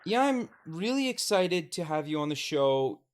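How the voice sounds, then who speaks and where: A man's voice: a little high, big pitch swings, moderate pace. A male podcast host who reads a sponsor spot and interviews a guest, reacting with short upbeat remarks. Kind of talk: interview hosting and ad read.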